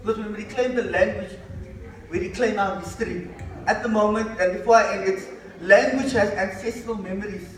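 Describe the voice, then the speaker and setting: A man's voice: high voice, brisk. A man speaking on a discussion panel, impassioned and emphatic, often restarting his sentences. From South Africa. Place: hall at a panel event. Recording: echoey room, mic far off.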